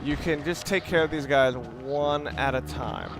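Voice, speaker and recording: A man's voice: medium pitch, unhurried, vocal fry, very noisy. A man giving casual, wry and rambling commentary as he talks through a video game walkthrough. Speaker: let's play commentator. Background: game music and sound.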